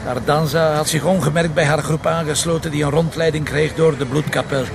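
An actor playing a brisk, wry police commissioner with a Flemish accent, curt and dry in a naturalistic audio drama. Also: male voice, a little high, steady tone, gravelly, a crowd in the background. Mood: relieved, explaining.

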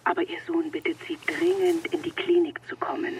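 A high-pitched telephone caller. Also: female voice, flat delivery, brisk, gravelly, slight background noise. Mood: polite, urgent. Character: polite hospital caller on night duty. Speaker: actor. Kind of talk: German radio crime drama acting. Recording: distant telephone voice, faint music.

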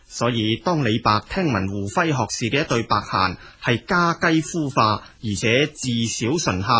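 A male storyteller with a Cantonese accent narrates in a colloquial spoken style. His voice sits at a medium pitch and is strongly husky and rough. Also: fairly bright tone.

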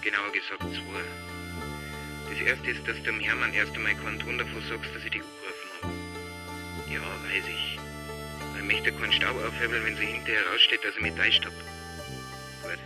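An actor playing a secretive, businesslike police investigator in a radio drama, giving colloquial instructions in a Bavarian accent. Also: male voice, lowish voice, fairly even intonation, moderate pace, long pauses, natural voice. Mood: conspiratorial. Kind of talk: naturalistic radio drama dialogue. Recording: loud music over the voice.

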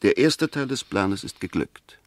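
A man's voice: fairly low pitch, expressive, unhurried, no room sound. A man acting an insistent, courteous but sceptical defence lawyer in a 1960s German radio drama, precise and argumentative. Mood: insistent, reasoning. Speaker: actor in a radio play.

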